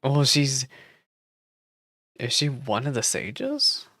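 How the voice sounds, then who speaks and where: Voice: medium pitch, huge pitch swings, slow pace, sharp tone. A streamer giving casual, slangy reaction commentary on a game, exclaiming and wandering into chatty asides. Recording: game music behind the voice. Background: game music and dialogue.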